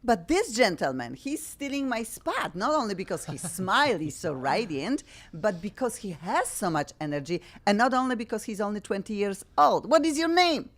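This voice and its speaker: A middle-aged woman from Poland with a Polish accent, hosting a talk show in an effusive, animated way. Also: medium pitch, moderate pace, few pauses. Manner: effusive, bubbly, animated, frequent laughs. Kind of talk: excitable talk show chat.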